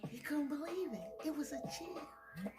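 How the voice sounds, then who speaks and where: A woman's voice: sits high, sing-song, a little slow, loud music behind the voice. A woman hosting a children's storytime, reading expressively and talking directly to young listeners. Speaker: storytime host and picture book reader. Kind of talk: children's read-aloud storytime.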